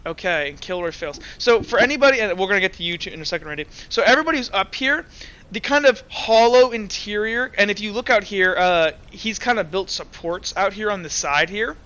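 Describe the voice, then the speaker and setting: A man's voice: high-pitched, fairly quick, pushed and bright, clean tone, lo-fi sound. A man improvising narration and rulings as the game master of a tabletop roleplaying game.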